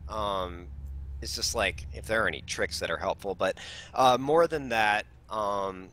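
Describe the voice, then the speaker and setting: A fairly low-voiced man with a bright, forceful edge, thinking aloud as a first-time game master. He talks eagerly, hesitates and restarts, searching for the right words. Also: unhurried. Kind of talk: casual feedback discussion on a call.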